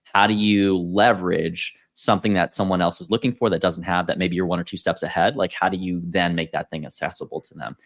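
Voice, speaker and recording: A man with a very deep voice hosts a podcast chat. He explains concepts steadily and hands the floor to others. His talk is casual and measured. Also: fairly even intonation. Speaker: podcast host.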